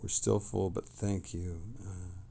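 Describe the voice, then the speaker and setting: Low, gravelly man chatting on stream. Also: level tone, slow, dark tone, slight background noise. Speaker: livestream host reading chat messages. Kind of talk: casual livestream chat, reading messages aloud.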